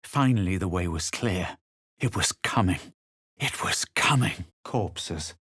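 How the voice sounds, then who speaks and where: An actor playing a smug, self-assured male adventurer in a fantasy video game, measured and dryly dramatic. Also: sits low, animated, a bit bright, slightly rough, no room sound, clean studio sound, full character voice. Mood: grave, wary. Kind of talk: video game character lines and first-person narration.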